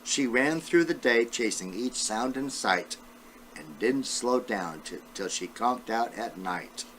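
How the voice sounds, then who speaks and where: A man's voice: mid-range voice, animated, slow pace, noisy background. An elderly man reading a children's storybook aloud, talking directly to his young listeners as a great-grandfather would. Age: 70s or older.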